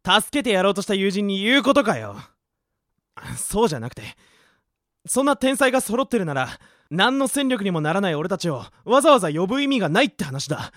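A man plays a gruff, exasperated teenage hero in an anime radio drama. The delivery swings widely and is blunt, recorded dry with some music behind. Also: sits high, very animated, brisk, a bit bright, no echo. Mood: irritated. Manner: blunt, grumbling retorts. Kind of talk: Japanese anime-style radio drama acting.

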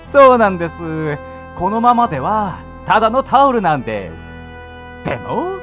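A man's voice: high-pitched, sing-song, normal speed, a bit bright, soft background music. A man acting a playful, mock-polite sadistic torturer in an amateur radio drama. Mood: gleeful, mocking. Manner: sing-song mock politeness with giggling.

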